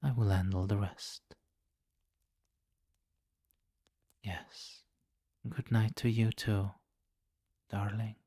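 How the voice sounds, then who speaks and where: A man playing a possessive, teasing vampire king in an intimate ASMR roleplay, commanding and breathy, often slipping into whispers on a close mic. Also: fairly low pitch, steady tone, slow pace, mellow. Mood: tender, possessive. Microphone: close microphone, dry.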